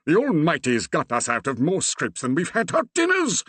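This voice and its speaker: A man's voice: fairly high pitch, wildly swooping pitch, a bit bright, husky, no echo, voice pushed up, exaggerated voice. A man voicing a blustering older country vicar who sputters with indignation and drags out his words. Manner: sputtering, indignant, drawn-out phrasing.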